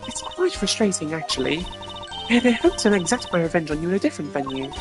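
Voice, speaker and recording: A man's voice: fairly high pitch, very animated, fast talker, pitched above the performer's own voice. A man voicing a strict, haughty young female prosecutor who scolds and commands, over game music. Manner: imperious, scolding.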